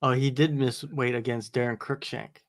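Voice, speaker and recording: A man's voice: mid-range voice, fairly even intonation, normal speed, dry recording, studio quality. A man who interviews fighters, chatting casually on a livestream in a loose, rambling way with lots of fillers. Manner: laid-back, rambling with many fillers. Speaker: MMA interviewer and podcast co-host.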